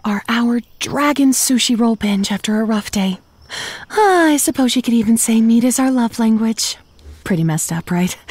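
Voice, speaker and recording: A woman's voice: a little high, darkish tone, no echo. A woman playing a wry, upbeat young female zombie who chats self-deprecatingly to the listener in a comedy audio drama. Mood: wry, chatty. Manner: conversational, self-deprecating, sardonic asides. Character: chatty, wry, upbeat young female zombie narrating her undead life.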